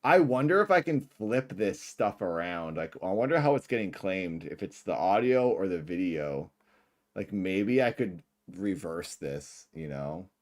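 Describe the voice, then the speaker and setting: Middle aged guy w a lisp, lowish voice, chatty rambling stream talk, trails off. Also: big pitch swings, a little slow. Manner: rambling, laughing asides, trails off quietly. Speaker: livestream host. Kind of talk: livestream reaction commentary.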